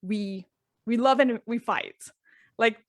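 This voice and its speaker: A woman's voice: a little high, takes it slowly, no room sound. An earnest, middle-aged woman from the Philippines speaks as a guest coach over a video call. She tells her life story openly, restarting phrases as she goes. Her voice is close and dry, with no room sound. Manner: earnest, open, with frequent fillers and restarts. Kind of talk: conversational interview storytelling.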